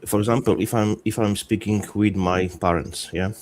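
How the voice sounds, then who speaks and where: A man with a Polish accent speaks in a very low voice, firm and earnest, repeating points to drive them home. He talks over a video call in a casual panel discussion, with faint background noise. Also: fairly even intonation, normal speed, darkish tone.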